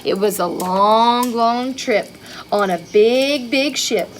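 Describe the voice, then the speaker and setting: Female children's storyteller, higher-pitched and unhurried, expressive with chatty asides, some background noise. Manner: expressive, child-directed, with rhetorical questions and exclamations. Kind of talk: read-aloud picture-book storytelling with chatty asides.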